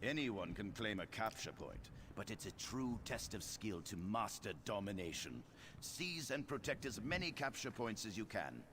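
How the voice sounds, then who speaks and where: An actor playing a hearty, grandiose battlemaster who relishes bloody arena games in a video game. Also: male voice, on the low side, sing-song, moderate pace, slight background noise. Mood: bloodthirsty relish. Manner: theatrical, grandiose. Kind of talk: video game character dialogue.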